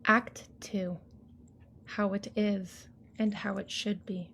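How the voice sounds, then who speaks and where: A woman recites poetic spoken word at a slow, deliberate pace. Her delivery is solemn and measured, with faint noise behind her. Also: medium pitch, level tone, creaky, fairly bright tone.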